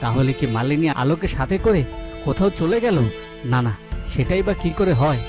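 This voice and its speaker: A devoted fairy-tale king from a cartoon, acted by a man. His voice sits a little high, fretting and repeating himself with melodrama. Music plays clearly behind him. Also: lively intonation, fairly quick, close to an everyday voice. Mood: worried. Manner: fretful, repetitive, dramatic.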